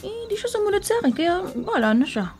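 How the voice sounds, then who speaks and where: High-voiced, exasperated younger Filipina sister. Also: female voice, sing-song, fairly quick, a little mellow, faint music behind. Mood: matter-of-fact. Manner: sassy, scoffing, conversational. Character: exasperated, teasing younger sister, skeptical of old superstitions. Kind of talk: Filipino radio drama acting.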